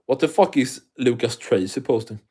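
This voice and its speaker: Man, thick foreign accent, livestream host, loose rambling talk, lots of swearing, mid pitch. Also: normal speed, darkish tone, slightly rough. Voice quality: thick non-native English accent. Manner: rambling, profane, restarts often. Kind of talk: casual livestream chat, answering viewer comments.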